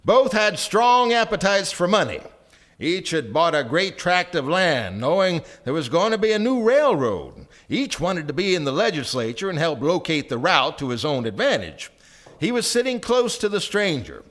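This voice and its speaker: A man's voice: on the high side, big pitch swings, normal speed, a bit bright. A man narrating a story theatrically on stage, with precise, declamatory delivery. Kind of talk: theatrical storytelling before a live audience.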